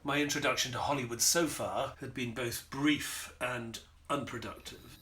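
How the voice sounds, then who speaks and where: An actor playing a polite, earnest detective's companion who narrates an audio drama in a formal, theatrical storytelling manner. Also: male voice, medium pitch, normal speed, pushed and bright, close to an everyday voice. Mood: dramatic narrating.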